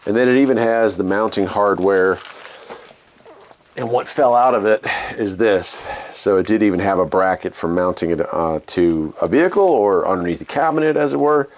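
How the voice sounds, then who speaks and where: Low-voiced American man, casual and folksy. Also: moderate pace. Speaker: video host. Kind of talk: casual unboxing and demonstration.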